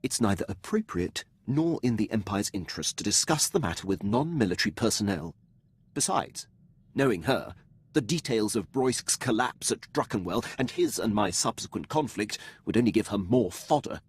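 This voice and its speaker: An actor playing a dutiful, loyal military officer in a video game, speaking formally and with precise, clipped diction. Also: male voice, lowish voice, expressive, moderate pace, slightly rough. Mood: formal, earnest. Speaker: starship captain serving a lord.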